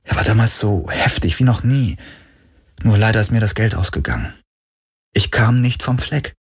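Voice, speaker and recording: A man's voice: fairly low pitch, animated, normal speed, slightly rough, pitched above the performer's own voice. A man voicing a weary, wry young woman, a former runaway and swindler, in an audiobook with subdued delivery. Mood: troubled. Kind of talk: audiobook character dialogue.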